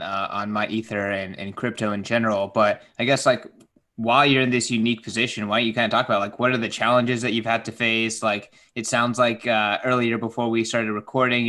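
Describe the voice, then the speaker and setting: A man's voice: lowish voice, sharp tone. A young adult man hosting a podcast, asking loose, casual questions into a studio mic. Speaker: podcast host. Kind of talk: interview questions. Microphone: studio mic with headphones.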